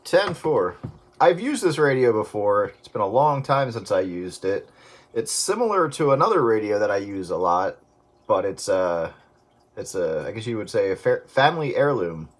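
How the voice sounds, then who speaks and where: A man's voice: on the low side, very animated, normal speed. A man in casual CB radio chat, talking in a folksy way with radio jargon over very noisy, clicking radio audio.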